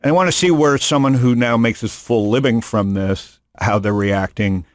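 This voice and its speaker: A man's voice: mid-range voice, moderate pace, no room sound. A man hosting a podcast in casual chat, rambling and joking, often restarting his sentences. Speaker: podcast host. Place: studio room.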